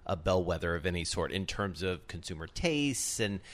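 A man's voice: sits low, expressive, normal speed, fairly bright tone. A man hosting business radio in an informal, conversational way, rephrasing as he goes. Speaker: radio host. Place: radio studio.

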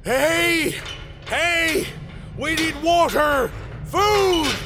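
A weary old brawler for audio fiction. The voice is fairly high and full of gravel, and it takes its time. He grumbles and blusters like someone worn down by fighting. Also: animated, extremely slow, music in the background, exaggerated voice. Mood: exasperated. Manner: blustery, grumbling, speaks in curses. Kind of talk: audio fiction drama acting.

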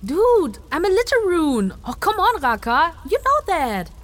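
A woman playing a breezy, cheeky young adventurer in a fantasy audio drama, casual, slangy and expressive. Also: extremely high pitch, unhurried, exaggerated voice. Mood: exasperated.

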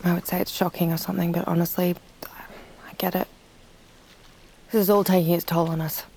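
A woman's voice: on the low side, little pitch movement, brisk, mellow, poor audio. An actress playing a weary, anxious woman who confides hesitantly, in a naturalistic audio drama. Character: weary, anxious pregnant woman seeking reassurance.

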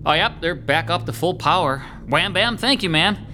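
Audio drama handyman: somewhat high voice, wisecracking, morbid streak, music behind. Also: expressive, moderate pace, sharp tone. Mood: breezy, sly. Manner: casual, joking, chuckling.

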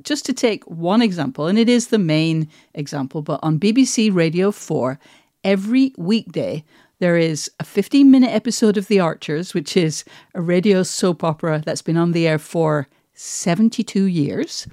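A woman's voice: on the low side, expressive, moderate pace, warm tone, no room sound, studio quality. A British woman hosting a podcast, talking in an articulate, emphatic, conversational way. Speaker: podcast host.

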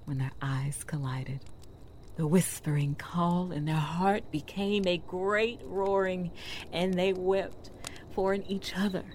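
A woman playing a solemn, wistful fairytale storyteller in an audio drama, narrating theatrically in a hushed, breathy voice. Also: lowish voice, steady tone, takes it slowly, slight background noise. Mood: intense, yearning.

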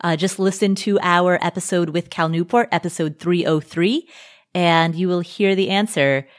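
Low-voiced woman hosting a podcast. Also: steady tone, normal speed. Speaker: podcast host. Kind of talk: casual conversational chat.

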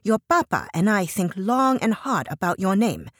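A woman's voice: mid-range voice, expressive, moderate pace, a bit bright, no room sound, studio quality, voice pushed up. A woman voicing a bossy Chinese immigrant mother in her sixties, stern and nagging, with a Chinese accent, as an audiobook character. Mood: indignant scolding. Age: 60s. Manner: stern, scolding, broken grammar.

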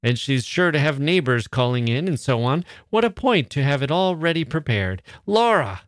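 A man reading aloud and voicing a tired, fussy upper-class mother who exclaims and gives brisk orders. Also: sing-song, no room sound, studio quality. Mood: eager. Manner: exclaiming, high-strung.

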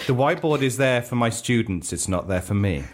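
A mid-pitched man chats casually among fellow cast members. He starts and restarts, repeating phrases for emphasis, over light background noise with some clicks. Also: lively intonation, a little fast. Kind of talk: casual group chat.

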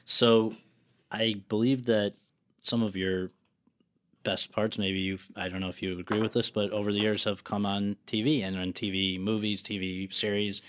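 Brief: male host, somewhat low voice, chatty, loose phrasing. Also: level tone, normal speed. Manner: friendly, rambling questions. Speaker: interviewer. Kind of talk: conversational interview.

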